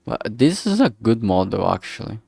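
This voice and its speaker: A man streaming as he works, thinking aloud casually in a halting way and muttering to himself. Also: lowish voice, very animated, gravelly, mellow, slightly rough, no echo, clean studio sound. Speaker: streamer making a visual novel. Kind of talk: casual think-aloud art livestream commentary.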